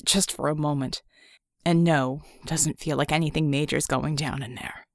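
A woman voicing a gruff, wounded male fighter who speaks low and sardonic. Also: steady tone, normal speed, dry recording, studio quality.

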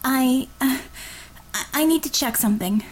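A woman's voice: high-pitched, normal speed, voice pushed up. A woman voicing a nervous young woman driver who speaks in a small, hesitant voice that halts and stammers, read as an audiobook character. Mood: anxious.

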